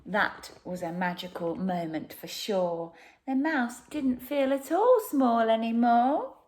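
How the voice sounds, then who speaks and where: A woman narrating a children's picture book expressively, with dramatic pauses and hushed emphasis. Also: a little high, expressive. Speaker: storyteller.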